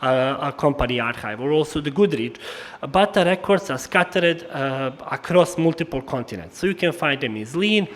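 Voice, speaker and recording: A man from former Yugoslavia with a Slavic accent gives a formal public lecture as a historian, haltingly, with frequent hesitations and repeated words. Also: a little high, lively intonation. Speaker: historian giving an annual lecture.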